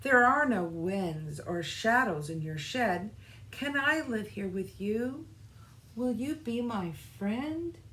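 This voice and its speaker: A woman plays a timid little boy fox from a picture book, lifted in pitch and full of childlike eagerness. The words come out as bright exclamations, with noise in the background. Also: expressive, slow, rough recording. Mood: eager, pleading. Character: small, excitable, timid young male fox. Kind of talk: children's storybook read-aloud.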